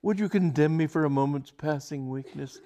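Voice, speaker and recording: A man voicing a flustered young gentleman suitor who stammers and breaks into high, breathless outbursts. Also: normal speed, natural voice. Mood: excited pleading.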